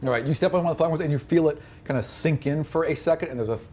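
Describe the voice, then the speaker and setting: A man's voice: fairly high pitch, very fast, warm tone, a little hiss or hum. A man running a tabletop fantasy game as game master, narrating descriptively and voicing sound effects.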